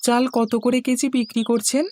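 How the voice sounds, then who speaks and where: A woman's voice: fairly high pitch, level tone, normal speed, a little mellow, a little hiss or hum, lightly acted. A woman voicing an obedient, hardworking poor boy in a cartoon fairy tale, speaking earnestly and politely. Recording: music bed under the voice.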